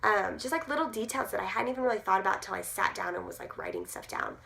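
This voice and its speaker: Hoarse, gruff-voiced woman with creaky fry. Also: mid-range voice, huge pitch swings, fast talker, bright, forceful tone. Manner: chatty, many "um" fillers, upbeat. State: hoarse, losing her voice. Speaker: direct sales team leader. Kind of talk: casual talk to camera.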